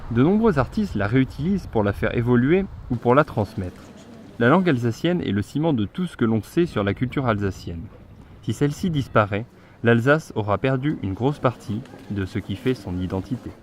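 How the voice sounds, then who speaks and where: A young man narrating a news report in a steady, scripted voice-over. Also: mid-range voice, normal speed, a little hiss or hum. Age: young adult. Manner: steady read delivery.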